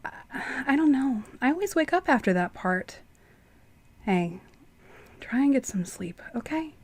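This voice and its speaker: Woman acting soothing wife, fairly high pitch, sleepy, gentle, mystery radio play. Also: very animated, unhurried, husky, full character voice. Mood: concerned, soothing. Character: caring, sleepy wife soothing her troubled husband.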